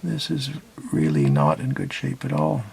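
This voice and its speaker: Male repair host, gravelly low-key delivery, noisy bench recording. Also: moderate pace. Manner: calm, step-by-step instructive. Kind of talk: narrated repair tutorial.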